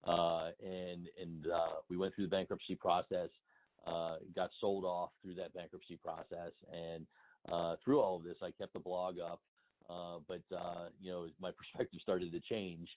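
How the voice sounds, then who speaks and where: A man's voice: low voice, flat delivery, moderate pace, narrow, tinny audio. A middle-aged man speaking as a guest in a conversational phone interview, wordy and emphatic. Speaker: interview guest, industry consultant and blogger. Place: remote phone call.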